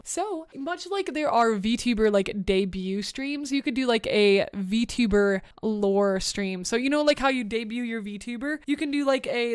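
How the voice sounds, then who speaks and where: A young woman with a smooth, clear voice, a bit deep for a woman. She talks in a loose, earnest, encouraging way, with plenty of fillers. The sound is dry and close, with no room in it. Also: dry recording. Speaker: podcast host. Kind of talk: motivational podcast talk.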